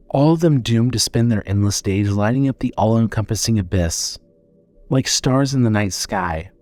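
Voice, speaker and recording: A man narrating a scripted horror audio drama in a measured, dramatic storytelling manner. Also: medium pitch, darkish tone.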